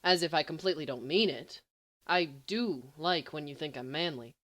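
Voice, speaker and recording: A woman's voice: low voice, moderate pace, a bit bright. A woman reading the part of a lovesick, self-doubting teenage boy in a storybook, earnest and hesitant. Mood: impish.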